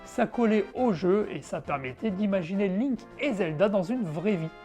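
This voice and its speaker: A woman's voice: mid-range voice, animated, moderate pace, warm tone. A woman hosting a retro game show, narrating a review in a frank, conversational way with casual asides. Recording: background music under the voice.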